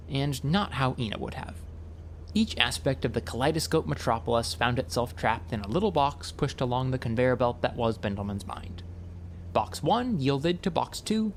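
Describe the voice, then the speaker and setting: A man narrating a scripted audiobook story in a wry, expressive storytelling manner. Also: mid-range voice, a little hiss or hum.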